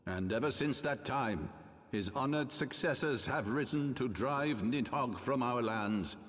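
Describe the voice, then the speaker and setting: An actor playing a stern, eloquent older religious ruler in a fantasy game, speaking measured, archaic oratory. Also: male voice, mid-range voice, fairly bright tone, lightly acted. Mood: solemn, insistent. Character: stern, eloquent older male religious ruler, a father justifying old sins. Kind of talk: dramatic fantasy game cutscene acting. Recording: long reverberant hall.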